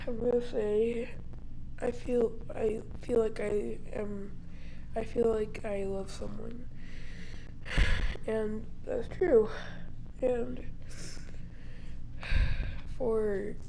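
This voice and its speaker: A woman with a fairly high, gravelly voice talks very slowly at a memorial service. She halts and repeats herself, breaking into soft laughs, with faint noise in the room. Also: low-quality recording. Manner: slow, halting, with soft laughs. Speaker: eulogy speaker, grandchild of the deceased. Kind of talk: unscripted memorial speech. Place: funeral service hall.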